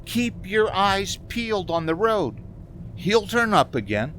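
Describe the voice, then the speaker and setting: A man's voice: sits high, big pitch swings, takes it slowly, fairly bright tone, heavily acted. A man playing an anxious, haunted young driver in a radio drama, stammering, hesitant and pleading. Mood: agitated, insistent. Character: anxious, haunted young man driving cross-country alone. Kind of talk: 1940s-style radio drama acting and narration. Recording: faint background noise, community theater recording.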